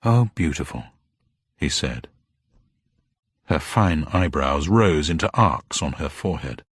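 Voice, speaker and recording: Male narrator, husky, dry studio sound, scripted detective fiction, expressive delivery. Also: a bass voice, slow pace, dry recording.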